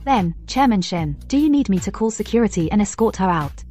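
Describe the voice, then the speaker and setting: An actress playing a deferential secretary, speaking politely and formally in an audio drama. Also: female voice, mid-range voice, expressive, fairly quick, dark tone, faint music behind, close to an everyday voice. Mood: dutiful. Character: deferential female secretary to a chairman. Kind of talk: dubbed audio drama acting.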